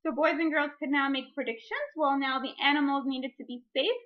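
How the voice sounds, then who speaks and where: A woman's voice: sits high, brisk. A woman speaking as a teacher, reading a picture book expressively and explaining reading strategies. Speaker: teacher demonstrating a read-aloud. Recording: dull lo-fi recording.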